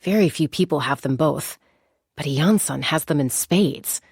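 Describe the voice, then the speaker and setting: A confident young warrior lady from a game, lowish with some gravel, real dry close sound, calm and articulate. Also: no room sound, full character voice. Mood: wry, admiring. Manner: measured, articulate, reflective delivery. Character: composed, confident young woman, seasoned flying warrior and peacemaker. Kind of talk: scripted game character monologue.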